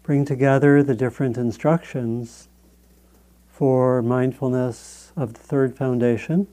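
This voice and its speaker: A man leads a guided meditation in a soft-edged, relaxed voice of medium pitch. He speaks very slowly and gently, leaving long pauses between short phrases as he gives instructions as a teacher.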